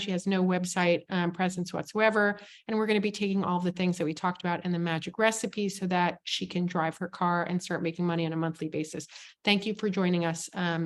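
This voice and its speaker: A woman hosting a business masterclass on a video call, chatty and enthusiastic as she introduces a guest. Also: mid-range voice. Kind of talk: webinar hosting.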